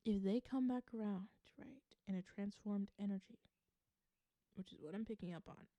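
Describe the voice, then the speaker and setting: A woman from the American South giving an intuitive tarot reading to viewers. She gives earnest advice, repeats phrases for emphasis and laughs softly. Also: mid-range voice, normal speed, no room sound, lo-fi sound. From Southern United States. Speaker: tarot reader.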